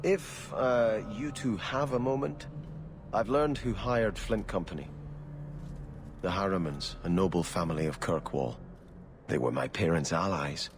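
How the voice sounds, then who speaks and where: A man's voice: low voice, measured pace, noisy background. An actor playing an earnest, brooding nobleman in a video game, speaking formally and solemnly. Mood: earnest, troubled. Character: earnest, brooding young nobleman avenging his family. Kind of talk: video game cutscene acting.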